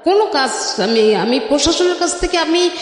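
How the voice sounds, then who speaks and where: Higher-voiced middle-aged woman, very echoey. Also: lively intonation, moderate pace. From Bangladesh. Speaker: guest telling her life story. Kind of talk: personal storytelling in an interview. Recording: very echoey, long reverb.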